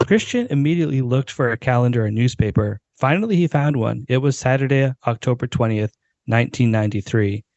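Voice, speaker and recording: A man's voice: medium pitch, a little mellow, no room sound, muffled recording. A man, a podcast co-host, giving a dramatic reading of a short story and now and then tripping over words.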